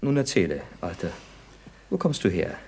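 Cheeky sarcastic son, husky, mocking, hammy up and down delivery, radio comedy. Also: male voice, low-pitched, very animated, normal speed, darkish tone, heavily acted. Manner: mocking, theatrical. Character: cheeky, sarcastic actor son, jealous and flippant. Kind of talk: stage comedy radio acting.

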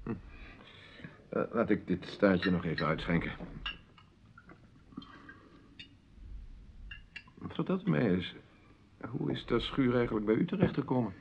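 A man's voice: on the low side, very animated, normal speed, gravelly, husky, heavily acted. A man playing a genial middle-aged husband and father in a naturalistic radio play, chatting politely and inquisitively. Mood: polite, casual. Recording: dull, lo-fi radio play recording.

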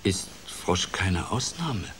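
A man's voice: sits low, slow pace, slight background noise, heavily acted. An actor playing a playful, wry older grandfather telling a fairy tale expressively in a radio play. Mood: wry, conceding. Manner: expressive, dramatic, shifts between hushed and lively. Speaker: storyteller.

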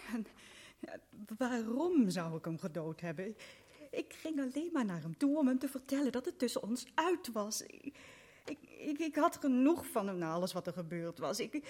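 An actress playing a young woman accused of murder in a radio play, halting and pleading. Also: a little high. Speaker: accused prisoner.

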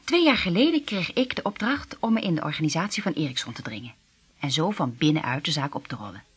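A woman's voice: low-pitched, animated, quick pace, breathy edge, heavily acted. An actress in a radio drama, playing a cool, poised royal woman working as a secret agent. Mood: confiding, explanatory.

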